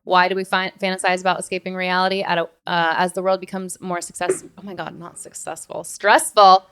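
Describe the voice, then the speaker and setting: A woman with a fairly low, smooth and resonant voice talks casually as a podcast host. She speaks emphatically, peppering her thoughts with conversational filler words like "literally.". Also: flat delivery, brisk, a bit bright.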